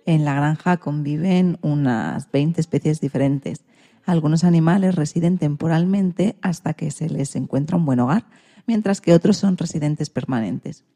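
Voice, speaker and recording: Low, mellow woman presenting radio. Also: moderate pace. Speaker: radio programme host. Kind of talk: scripted radio magazine presenting.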